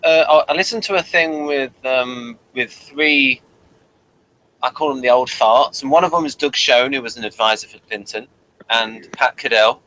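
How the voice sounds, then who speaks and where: A man hosting a casual episode review, speaking in a halting, hesitant way. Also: mid-range voice, sharp tone, a little hiss or hum, low-quality recording. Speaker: review host.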